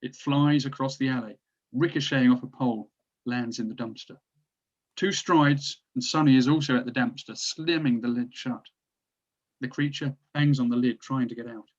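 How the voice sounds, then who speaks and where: A man's voice: mid-range voice, steady tone, normal speed. A man narrating stage directions from a drama script, recorded on dull, lo-fi audio.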